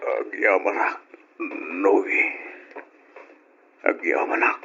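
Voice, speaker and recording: A man acting an ailing, repentant lover in a radio drama, pleading haltingly in a weak, breathy, raspy voice. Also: pushed and bright, soft background music. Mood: weak, remorseful. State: weak and sickly. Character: ailing, repentant man begging forgiveness from his former love. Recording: dull lo-fi radio drama audio.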